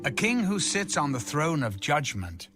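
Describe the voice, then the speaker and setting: A man reading scripture aloud in a solemn, measured, declamatory style with music behind him. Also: fairly high pitch, very animated. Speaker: Bible reader.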